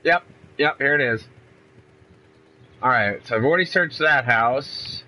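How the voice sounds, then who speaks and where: A man's voice: medium pitch, unhurried, pushed and bright, rough recording. A young man with a congested voice from a cold, chatting casually and rambling through a let's play from a room at home. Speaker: gaming YouTuber.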